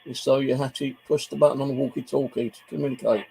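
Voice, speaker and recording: A man's voice: mid-range voice, fairly even intonation, fast, gravelly, warm tone, slightly rough, low-quality recording. A man hosting a paranormal investigation, speaking informally as he comments and calls out questions. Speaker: ghost-hunting channel host. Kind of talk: casual spoken commentary, calling out to spirits.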